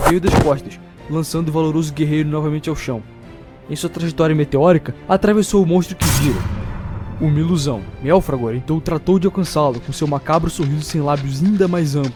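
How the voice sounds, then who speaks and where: A man with a Brazilian accent narrating a fantasy story in a dramatic, scripted storytelling style. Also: a little high. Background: music.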